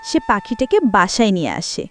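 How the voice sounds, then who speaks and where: A woman's voice: mid-range voice, huge pitch swings, normal speed, soft background music. A woman, narrating a scripted fairy tale for children as a storyteller.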